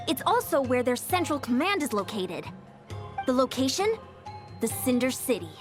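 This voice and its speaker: A woman playing an earnest, polite young female military strategist in a video game, speaking in a courteous, measured, formal way. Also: sits high, very animated, faint music behind. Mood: pleased, businesslike. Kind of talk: English video game voice acting.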